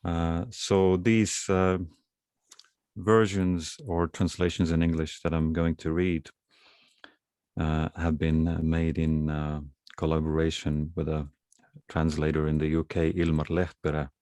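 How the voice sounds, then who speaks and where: Male poet, very low monotone, calm, with pauses. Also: clean tone, no room sound, studio quality. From Estonia. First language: Estonian. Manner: calm and unhurried, with many pauses. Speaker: guest poet. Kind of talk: casual talk before a reading.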